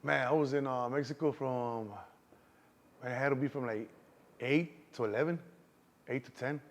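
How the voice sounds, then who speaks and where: A man's voice: mid-range voice, normal speed. A man in his thirties with a Chicago accent, a guest in a casual street interview, talking loosely and constantly saying "you know what I'm saying".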